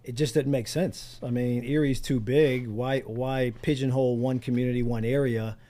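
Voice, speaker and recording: A man's voice: mid-range voice, fairly even intonation, normal speed, dark tone. A middle-aged or older man from Pennsylvania, speaking conversationally as an interview guest and community organizer in a studio. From Pennsylvania, United States. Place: radio studio.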